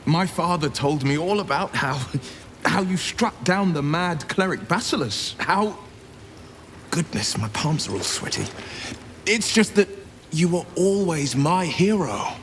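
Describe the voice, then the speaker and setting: An actor playing an earnest, gallant young monster hunter in a fantasy game. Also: male voice, fairly high pitch, lively intonation, unhurried, a bit bright, slight background noise. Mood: starstruck.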